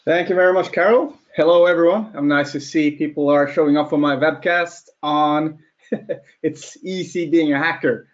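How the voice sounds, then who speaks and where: Norwegian guy in his 30s, kinda american sounding accent, chatty webinar talk, voice a bit high, muffled lo-fi audio. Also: steady tone, normal speed. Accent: slightly American-sounding. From Norway. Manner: chatty, laughs at own points, rhetorical questions. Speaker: webcast presenter. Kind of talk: casual live webinar presentation.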